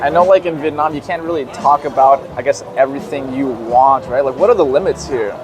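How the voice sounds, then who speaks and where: A man from Virginia in the USA hosting a casual interview and asking questions in a relaxed, curious way. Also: mid-range voice, brisk. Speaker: interview host. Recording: outdoor crowd chatter behind.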